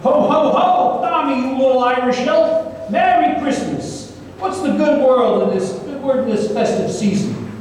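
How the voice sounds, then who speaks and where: A man's voice: extremely high pitch, a little slow, a little mellow. A man playing a jaded, wry retired private investigator in an old time radio drama, hesitant and rambling. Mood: jovial, teasing. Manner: hesitant, rambling, filler-heavy storytelling. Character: jaded, wry retired small-town private investigator telling his tale. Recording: echoey hall, distant mic, faint noise.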